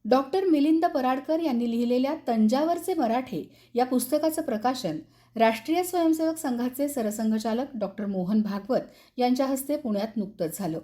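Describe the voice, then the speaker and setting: A woman's voice: high voice, moderate pace, warm tone. A woman reading scripted radio news as the presenter.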